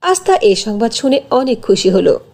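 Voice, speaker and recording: A woman's voice: a little high, sing-song, normal speed, a little mellow. A woman narrating a scripted fairy tale for a cartoon as its storyteller.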